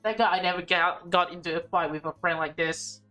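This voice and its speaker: A woman's voice: lowish voice, steady tone, brisk, bright, forceful tone, faint music behind. A woman reading a visual novel aloud with casual reactions, stumbling over lines and rereading them. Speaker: game player and streamer.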